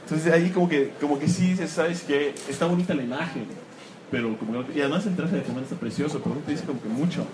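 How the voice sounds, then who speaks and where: A man's voice: on the high side, fast. A man giving a live, joking talk about comics to an audience in a room. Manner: joking, self-mocking, animated asides. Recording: clear background noise.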